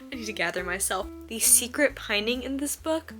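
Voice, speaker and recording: A young adult American woman chatting casually in a reading vlog, with an expressive, gushing fangirl delivery and frequent use of "like". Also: on the high side, a little fast, over music. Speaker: book vlogger.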